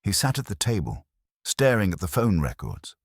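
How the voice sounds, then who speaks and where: Very deep, husky male true-crime narrator. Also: sing-song, moderate pace, dry recording, clean studio sound. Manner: dramatic, clipped storyteller phrasing.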